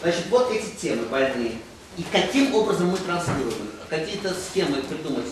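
A man's voice: fairly high pitch, sing-song, fairly quick. Man talking from across an echoey room. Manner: rambling, frequent "да?" tag questions, gesturing. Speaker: roundtable participant. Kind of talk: panel discussion. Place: conference room. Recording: echoey room from far off, noisy.

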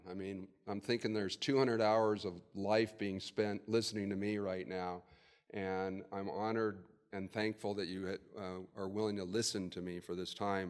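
A man in his sixties giving a conversational keynote at a podium in a conference room, thinking aloud with fillers. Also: fairly low pitch. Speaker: keynote speaker. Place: hotel conference room with audience. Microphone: podium mic. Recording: long reverb of a conference hall.

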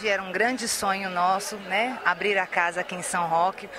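A woman's voice: medium pitch, steady tone, normal speed, over music. A Brazilian woman in her thirties giving a promotional interview as a restaurant co-owner. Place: restaurant by open night street. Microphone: handheld interview microphone. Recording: clear restaurant crowd chatter.

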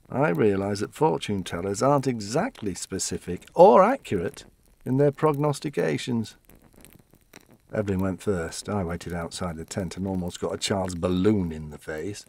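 Old widower in an audio play, deep-ish voice, halting wistful storytelling, chatty and reflective. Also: male voice, a little mellow, dry recording. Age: older. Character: elderly widower reminiscing wistfully about his late wife.

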